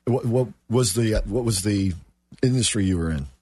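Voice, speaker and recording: A man's voice: on the low side, moderate pace, dark tone, husky, no room sound, studio quality. A man hosting a business talk show, asking short, easygoing questions to prompt his guest. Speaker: host.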